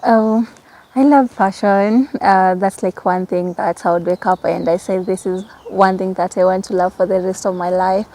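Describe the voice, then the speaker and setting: Casting: young female Kenyan guest, Kikamba first language, mid-pitched and even, chatting casually about herself. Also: normal speed, poor audio. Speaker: interview guest. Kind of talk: casual personal talk.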